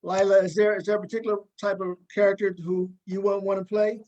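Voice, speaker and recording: A man's voice: sits high, flat delivery, quick pace, darkish tone, muffled recording. A man hosting a casual panel interview, often repeating words as he starts his sentences. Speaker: talk show host and actor.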